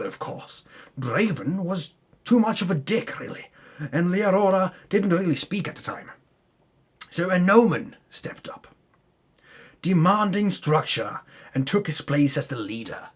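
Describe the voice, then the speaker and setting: A man's voice: a little high, slightly rough. A man narrating a tabletop campaign recap as a dramatic storyteller, with theatrical delivery and casual asides.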